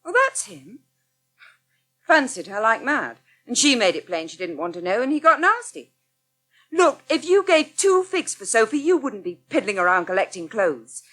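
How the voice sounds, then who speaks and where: Sharp, high-voiced indignant young woman. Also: very animated, normal speed, exaggerated voice. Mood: indignant, accusing. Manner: blunt, sharp-tongued. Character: blunt, indignant young woman, loyal protective flatmate. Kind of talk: naturalistic radio soap acting.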